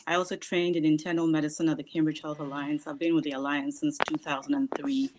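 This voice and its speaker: A woman physician from Ghana, introducing herself calmly on a video call. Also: sits low, fast talker, rough recording. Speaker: primary care physician. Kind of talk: self-introduction on a panel.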